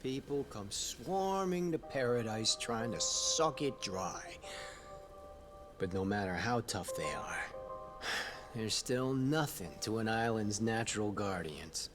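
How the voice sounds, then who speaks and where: An actor playing a jaded, scornful island tough in a video game, blunt, moralizing and contemptuous. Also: male voice, on the low side, animated, takes it slowly. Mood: scornful, somber. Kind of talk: video game cutscene acting. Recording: music behind the voice.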